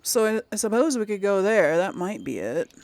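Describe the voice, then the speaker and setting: A woman's voice: mid-range voice, sing-song, moderate pace, a little mellow. A woman giving casual game playthrough commentary, thinking aloud and muttering to herself as she goes. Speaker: gaming channel host.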